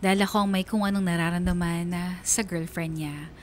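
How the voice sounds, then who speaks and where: An actress playing a wistful young woman who narrates a confession in a measured, confiding radio drama style. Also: on the low side, steady tone, slight background noise, natural voice. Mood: guilty, longing. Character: wistful young woman confessing secret love for best friend's girlfriend. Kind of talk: first-person radio drama letter narration.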